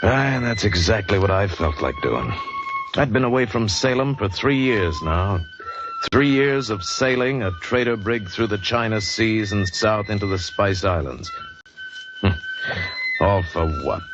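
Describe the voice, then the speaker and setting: An actor playing a restless American sea captain in a 1940s radio adventure drama. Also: male voice, lowish voice, measured pace, over music, lightly acted. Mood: weary brooding. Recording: noisy, muffled 1940s radio recording.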